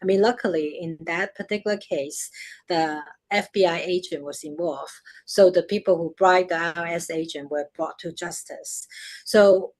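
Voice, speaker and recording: A woman's voice: fairly low pitch, normal speed, a little mellow. An older woman from China with a Chinese accent, speaking as a guest in a town hall interview, earnest and explanatory with frequent fillers.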